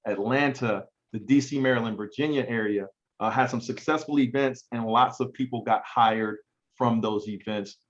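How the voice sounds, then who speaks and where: A man's voice: mid-range voice, lo-fi sound. A man hosting an online hiring event, talking in a casual, conversational way with frequent fillers. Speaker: event host.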